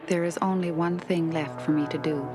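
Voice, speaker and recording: An actor playing a remorseful male inventor making a grave confession in an animated film. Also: a little high, moderate pace, music in the background, lightly acted. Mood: grim, resigned. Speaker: scientist. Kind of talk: cartoon voice acting.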